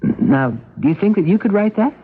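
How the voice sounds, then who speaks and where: Earnest, higher-voiced farm brother. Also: male voice, lively intonation, fairly quick, breathy edge. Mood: patient, earnest. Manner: gentle, careful explaining, as to a child. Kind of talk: 1970s radio drama acting. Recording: dull lo-fi radio broadcast recording.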